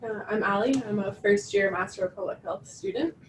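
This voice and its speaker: A woman's voice: medium pitch, fairly quick. A young woman with a casual student manner, introducing herself in a classroom. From small-town Ontario, Canada. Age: young adult. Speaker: graduate student. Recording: echoey room, distant mic, faint noise.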